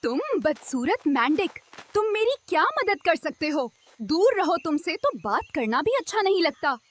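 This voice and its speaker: A woman voicing a spoiled, haughty young princess in an animated fairy tale, girlish and disdainful. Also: extremely high pitch, normal speed. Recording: music behind the voice.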